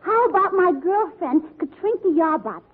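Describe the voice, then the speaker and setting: A woman's voice: extremely high pitch, big pitch swings, normal speed. A woman playing a man-crazy, scatterbrained, unflappable young dame with an airy, matter-of-fact manner in radio comedy. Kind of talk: 1940s radio comedy acting. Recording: tinny vintage radio broadcast.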